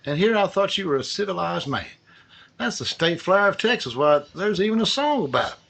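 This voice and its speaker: An actor playing a folksy, teasing police detective partner in an easygoing 1940s radio drama style. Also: male voice, sits high, big pitch swings, brisk, gravelly, fairly bright tone, heavily acted.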